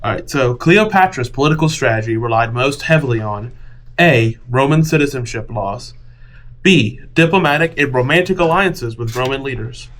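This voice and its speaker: A man's voice: mid-range voice, lively intonation, normal speed, fairly bright tone, rough recording. A man playing a board game, chatting casually and reading out quiz questions.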